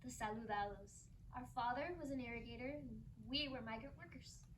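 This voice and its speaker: A woman's voice: a little high, a little fast, close to an everyday voice. A young woman student actor playing a migrant farm girl remembering family life in a theatrical oral history piece. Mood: reminiscing. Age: young adult. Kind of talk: theatrical two-person oral history storytelling. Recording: distant mic, faint room noise.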